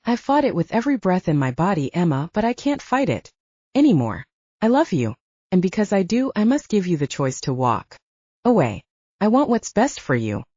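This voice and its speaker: A woman reading the lines of a calm, earnest male werewolf alpha in an audiobook. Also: lowish voice, moderate pace, no echo, highs cut off.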